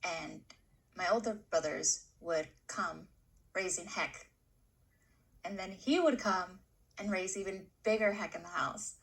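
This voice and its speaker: A woman's voice: fairly low pitch, measured pace, vocal fry, fairly bright tone. A young woman student actor playing a farmworker's daughter who recalls a hard-working childhood in an oral history dramatization. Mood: reminiscing. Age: young adult. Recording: distant microphone.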